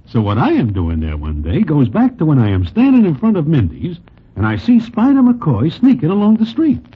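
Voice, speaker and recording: A man playing a wry, slangy New character who narrates gangster tales in a deadpan, wisecracking 1940s radio style. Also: fairly high pitch, normal speed, slightly rough, exaggerated voice. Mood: wry storytelling. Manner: deadpan, wisecracking, oddly formal slang in present tense. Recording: muffled vintage 1940s radio recording.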